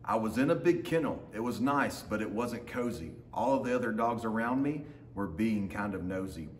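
A man in his thirties with a lowish voice reads a picture book aloud to children in a simple storytelling way. He is recorded from a few metres away in a big, echoey open room, with faint noise behind him. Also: animated, moderate pace. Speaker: teacher reading aloud to students. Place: large open indoor lounge with hard floor. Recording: roomy echo, faint background noise.

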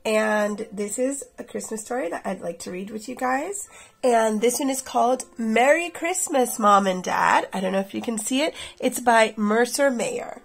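A woman in her thirties reading a picture book aloud expressively for children, with a slightly husky, creaky voice. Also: on the high side, moderate pace. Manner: addresses children directly. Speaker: read-aloud storyteller for children.

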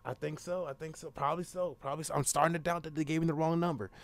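A young man making an improvised prank phone call as the caller, rambling insistently and repeating himself in a gravelly voice. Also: a little high, fairly even intonation, rapid-fire.

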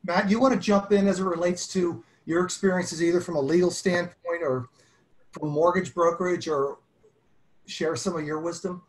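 Higher-voiced moderator man. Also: fairly even intonation, normal speed, poor audio. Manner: summarizing, prompting guests. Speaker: meetup host and moderator. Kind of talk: moderating a panel on a video call. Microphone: video call.

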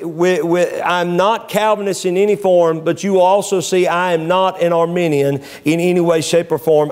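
A middle-aged man preaching a sermon from a pulpit in a small church, emphatic, repeating words to press a point and reading scripture in a measured cadence. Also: sits high, a little mellow. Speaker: pastor.

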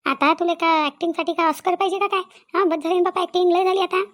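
A woman's voice: very high-pitched, fairly even intonation, fast. A woman playing a middle-aged, insistent village sister-in-law in a Marathi cartoon comedy, with a Vidarbha accent, coaxing and scolding repetitively. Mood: exasperated scolding. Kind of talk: cartoon family comedy dialogue.

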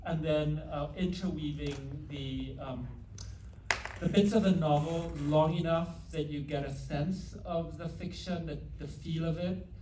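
A man's voice: fairly high pitch, dark tone, a little hiss or hum. Hesitant man with a faint Trinidadian lilt. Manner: hesitant, many restarts and fillers. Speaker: film director. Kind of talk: panel Q&A answer. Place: theatre stage before an audience. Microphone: handheld mic, recorded from far in the hall. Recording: roomy hall audio from far off.

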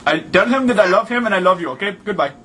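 High-pitched pushy foreign prank caller. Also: male voice, animated, fast talker, background noise. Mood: exasperated, insistent. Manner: indignant, repetitive broken English without contractions. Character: pushy, absurd, crude foreign male phone caller. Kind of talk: improvised prank phone call.

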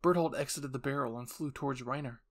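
A man's voice: mid-range voice, fast. A man narrating a scripted second-person roleplay story in a dramatic storytelling style, as host.

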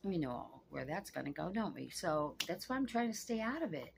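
A woman's voice: lowish voice, big pitch swings, moderate pace, vocal fry, slight background noise. A woman in her sixties vlogging from her couch, talking frankly and cussing freely, straight to the camera. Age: 60s. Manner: blunt, frank and profane, talks straight to camera. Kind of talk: casual vlog monologue. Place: small home room, seated on a couch.

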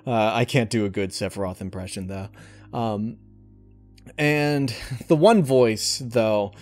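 A man's voice: mid-range voice, no echo. A man answering interview questions casually, in a rambling, hesitant and enthusiastic way. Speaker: channel host, amateur voice actor being interviewed. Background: music behind the voice.

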